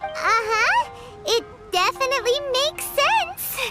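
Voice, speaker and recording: Tiny childlike fairy sidekick, extremely high squeaky voice, bouncy swooping intonation, excitable, naive, video game, loud music behind. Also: female voice, slow pace, exaggerated voice. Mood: curious, puzzled. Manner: squeaky, cute, exclamatory, curious questioning.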